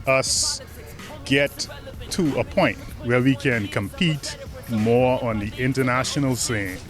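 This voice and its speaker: A man with a Bahamian accent answering interview questions thoughtfully, pausing with fillers. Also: mid-range voice. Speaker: chamber of commerce president.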